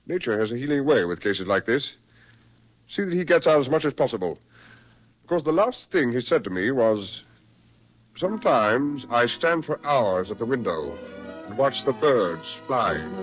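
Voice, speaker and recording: A man acting a brisk, persuasive military psychiatrist with genteel, insistent delivery in a 1940s radio drama. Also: mid-range voice, husky. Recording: muffled 1940s radio recording.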